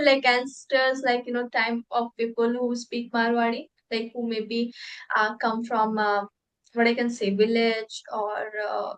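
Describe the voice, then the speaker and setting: A woman's voice: on the high side, low-quality recording. A young woman from Uttar Pradesh with an Indian accent, a native Hindi speaker who hosts English conversation practice in a casual, hesitant way with many fillers.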